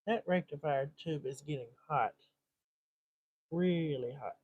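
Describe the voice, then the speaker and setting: A man's voice: a little high, a little slow, husky, highs cut off. A man thinking aloud in short muttered fragments, giving casual live commentary as he does repair work. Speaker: livestream host repairing a vintage radio.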